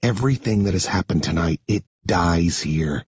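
A man voicing a tough, protective teenage boy in an audiobook, with a forceful, commanding delivery. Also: lowish voice, lively intonation, slow pace, breathy edge, dry recording, highs cut off, exaggerated voice. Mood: low, menacing. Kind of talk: dramatic first-person audiobook dialogue.

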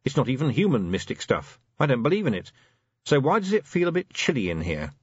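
A man voicing a blunt, harried male watch commander who is quick to bellow. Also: medium pitch, sing-song, slightly rough, no room sound, lo-fi sound, voice pushed up. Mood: brusque.

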